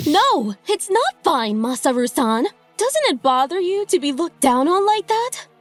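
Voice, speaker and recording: A woman's voice: sits high, sing-song, unhurried, faint music behind. A woman acting an outspoken, indignant wife and mother in a dubbed manga drama.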